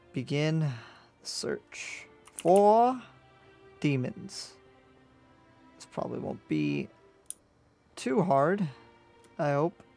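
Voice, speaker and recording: A man's voice: a little high, creaky, a little mellow. A man improvising roleplay as he mutters to himself, with sighs and exclamations. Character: weary young male demon slayer, muttering to himself. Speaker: roleplay video creator.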